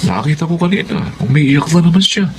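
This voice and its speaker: A man's voice: a little high, expressive, a little fast, noisy background, natural voice. A man playing a protective, hot-headed young son, earnest and respectful, in a Filipino radio drama. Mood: concerned, protective.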